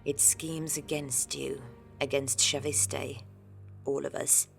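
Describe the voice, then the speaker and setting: Woman as low-voiced, scornful dark elf sister. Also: little pitch movement, takes it slowly, creaky, full character voice. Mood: stern, accusing. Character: stern, suspicious, scornful elder sister, dark elf warrior. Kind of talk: audio drama acting. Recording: music under the voice. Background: music.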